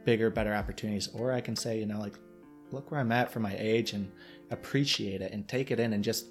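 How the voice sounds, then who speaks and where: A man's voice: lowish voice, fairly even intonation, fast talker, soft background music. A man from Michigan with a Yooper accent, talking earnestly and reflectively as a guest, with rambling restarts. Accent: Michigan Upper Peninsula. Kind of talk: casual interview chat.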